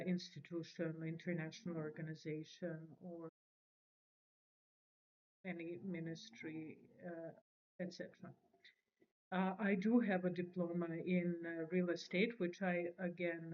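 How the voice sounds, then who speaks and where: A woman's voice: fairly low pitch, highs cut off. Hesitant woman in her forties. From Serbia. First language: Serbian. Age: 40s. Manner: hesitant, many fillers and restarts. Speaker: channel host. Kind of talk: unscripted self-introduction to camera.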